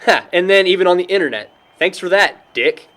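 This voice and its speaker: A man's voice: high voice, animated, brisk, fairly bright tone. A young man acting an easygoing friend who answers with dry, casual banter in an amateur film. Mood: mildly annoyed. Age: young adult.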